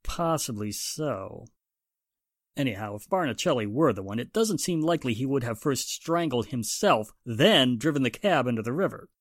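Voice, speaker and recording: A male actor performs a measured police inspector from a 1940s radio drama. His mid-pitched voice moves expressively while keeping a dry, official skepticism. The recording has little room sound. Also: animated, dry recording, lightly acted. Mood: skeptical.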